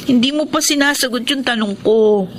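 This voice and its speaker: An actress playing a lovelorn young student in a Filipino radio drama, emotive and wistful. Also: female voice, on the high side, normal speed, noisy background, close to an everyday voice. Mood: pleading. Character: lovelorn young woman, university student, younger sister, missing her boyfriend. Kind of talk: Filipino radio drama acting with narrated monologue. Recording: radio drama with loud music bed. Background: loud dramatic music.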